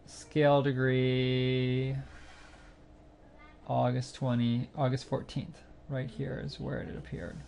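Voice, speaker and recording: A man narrating a screencast tutorial, explaining a music training website step by step in a plain, unhurried way with occasional fillers. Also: mid-range voice, level tone, creaky, darkish tone, a little hiss or hum. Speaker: site instructor demonstrating software.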